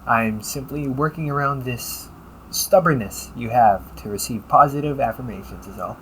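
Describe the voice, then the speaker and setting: A man playing a polite, caring A.I. companion in an audio roleplay, speaking in measured, formal phrases and often checking with a questioning tag. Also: mid-range voice, fairly bright tone, a little hiss or hum, lightly acted, poor audio. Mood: earnest, reassuring. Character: polite, caring male A.I. companion, gently logical and encouraging. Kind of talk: audio roleplay addressed to the listener.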